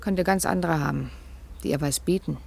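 Defiant young gossip, needling and offhand, radio drama, lowish female voice. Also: normal speed, creaky, darkish tone, heavily acted. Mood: spiteful, needling. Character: defiant, scheming young single mother, sharp-tongued gossip. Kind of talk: German radio play acting.

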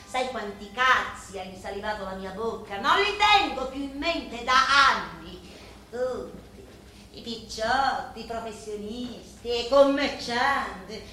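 A boss's bitter, sensual wife in a stage drama, taunting with theatrical scorn in a Sicilian accent. Her delivery is slow and deliberate, her voice sharp and forceful. It rings through a very echoey space with faint noise behind. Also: fairly high pitch, exaggerated voice. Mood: scornful, vulgar boasting. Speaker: stage actress.

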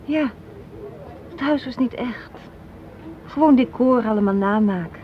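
An actress playing a sharp-tongued, unsentimental young woman in a radio play, sardonic and matter-of-fact as she argues. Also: a little high, measured pace, lightly acted. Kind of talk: Dutch radio drama acting. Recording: muffled, noisy old radio play recording.

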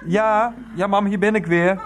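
A man's voice: high voice, fairly even intonation, a little slow. A man playing a flustered, lovestruck husband in his thirties in a radio play, hesitant, apologetic and harried. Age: 30s.

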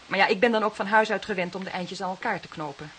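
An actress playing a prim, anxious and stubbornly convinced aunt in a crime drama, speaking earnestly, emphatically and haltingly. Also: female voice, medium pitch, a bit bright, lightly acted. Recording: hissy recording, faint noise.

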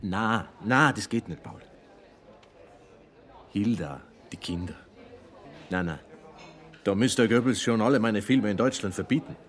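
An actor playing a troubled, devout Tyrolean film star and storyteller in a radio play, with a throaty Tyrolean accent. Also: male voice, fairly low pitch, measured pace, pauses often, natural voice. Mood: animated, dismissive. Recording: noisy ambience behind the voice.

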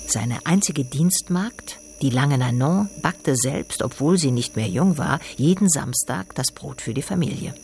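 A man's voice: mid-range voice, vocal fry, darkish tone, slight background noise. A man narrating a literary radio drama as storyteller.

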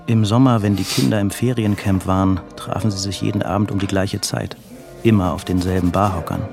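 A man's voice: lowish voice, steady tone, brisk, vocal fry. A man narrating a radio play in a literary, restrained and introspective way, with music behind him. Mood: measured, reflective. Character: restrained, introspective male narrator of a crime novel.

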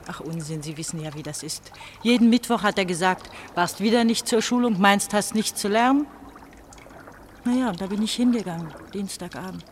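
A woman's voice: medium pitch, brisk, close to an everyday voice. A woman playing an anxious, trusting young pastor's daughter in a radio drama, speaking in a confiding, troubled way. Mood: agitated, bitter. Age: young adult. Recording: trickling water noise behind the voice. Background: trickling, dribbling water.

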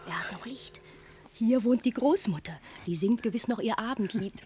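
A woman playing a pert, witty young small-town woman in a classic comedy radio play, brisk, teasing and bossy. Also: a little high, a little mellow, faint music behind, natural voice. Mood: hushed, teasing. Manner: brisk, mock-strict, teasing.

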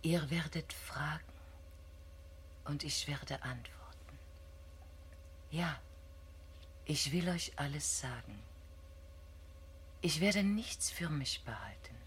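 An actress playing a thoughtful, well-read crew member in a German radio play, in a naturalistic, musing way. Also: female voice, low voice, expressive, takes it slowly, pauses often, fairly bright tone, slight background noise, heavily acted. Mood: entranced, hushed.

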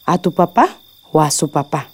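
A woman's voice: deep voice, expressive, moderate pace, slightly rough, no room sound. A middle-aged Mexican woman acting a caring, perceptive and wary mother in a radio soap opera. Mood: worried, doubtful.

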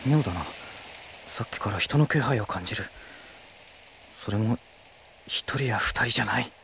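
An actor playing a gentle, earnest, protective young man with mild, caring phrasing in a Japanese audio drama. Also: on the low side, normal speed, vocal fry, a little hiss or hum. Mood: tense.

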